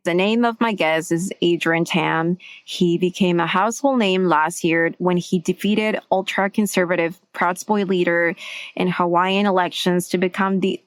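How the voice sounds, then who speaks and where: A woman's voice: on the low side, no echo. Effusive woman podcast host, dry sound. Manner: effusive, enthusiastic reactions. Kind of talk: podcast interview.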